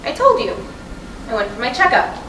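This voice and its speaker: A woman's voice: high voice, sing-song, brisk. A woman reading the part of a restless, sardonic wife in a staged play, in blunt, conversational dialogue. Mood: breezy, wry. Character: restless, sardonic wife, easily upset, nostalgic. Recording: distant mic in echoey, noisy room.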